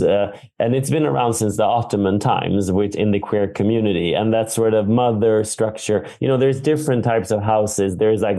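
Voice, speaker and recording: A man from Sweden, a film director in a stage Q&A, speaking in a thoughtful, halting way with many fillers and restarts. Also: fairly low pitch, dark tone. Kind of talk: Q&A conversation on stage. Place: theater.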